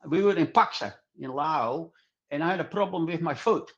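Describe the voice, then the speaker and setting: Older male podcast guest, higher-set voice, halting and repetitive delivery, muffled low-fidelity sound. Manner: halting, repetitive non-native English. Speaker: podcast guest, club singer. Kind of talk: casual interview answers.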